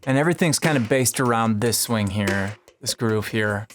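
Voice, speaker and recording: A man who is a musician, casually and haltingly telling how a song was made, in a rambling conversational way. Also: lowish voice. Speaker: musician, singer-songwriter. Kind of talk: casual storytelling about making a song. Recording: music playing behind the voice.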